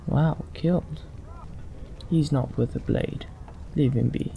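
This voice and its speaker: A man's voice: fairly high pitch, slow pace, gravelly, warm tone, slight background noise. A man doing casual gameplay commentary and reading out role-play lines as his character. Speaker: let's play host role-playing a character.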